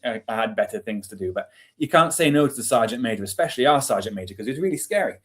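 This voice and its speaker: A man's voice: medium pitch, lively intonation, very fast, a bit bright. Chatty British man in his forties. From England. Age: 40s. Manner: chatty, self-deprecating. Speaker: interview guest, army veteran. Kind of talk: casual storytelling.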